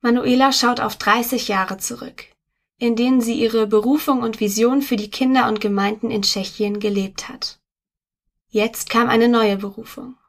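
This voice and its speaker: A woman reading a magazine article aloud in a steady, measured scripted narration on a close studio mic. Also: on the high side. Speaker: article reader.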